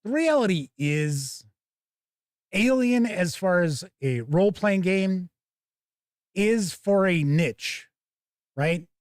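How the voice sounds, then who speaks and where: A man's voice: sits high, expressive, slow, pauses often, no room sound, studio quality. A man hosting a tabletop game review stream, chatting casually and thinking aloud as he comments on an unboxing.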